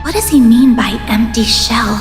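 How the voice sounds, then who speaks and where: A woman's voice: fairly high pitch, normal speed, lightly acted. A woman playing an earnest, urgent young heroine in a dramatic video game. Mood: alarmed. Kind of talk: English-dub video game drama acting. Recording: dramatic game music behind.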